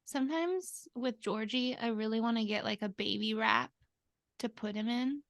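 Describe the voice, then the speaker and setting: A woman's voice: on the high side, normal speed, a bit bright, dry recording, clean studio sound. A woman co-hosting a podcast in an informal, conversational way. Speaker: podcast co-host. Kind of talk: casual chat.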